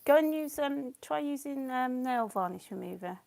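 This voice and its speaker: Husky-voiced chatty woman, slightly gravelly. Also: sits high, expressive, moderate pace, a little mellow, dry recording. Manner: chatty, laughs often, reads comments aloud. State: losing her voice, coughing. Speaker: painting livestream host. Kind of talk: casual chat while painting.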